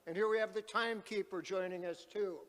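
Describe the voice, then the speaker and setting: A man moderating a panel at an activist conference, speaking loudly and calling out to the crowd like a rally speaker. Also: fairly even intonation, brisk. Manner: loud, booming, calls out to the crowd. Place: large conference hall. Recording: reverberant hall.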